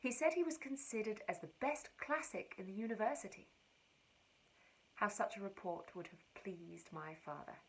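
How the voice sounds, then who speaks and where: A woman giving an expressive, wry and precise reading of a period letter. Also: mid-range voice, normal speed, vocal fry, breathy edge, poor audio. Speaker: reader.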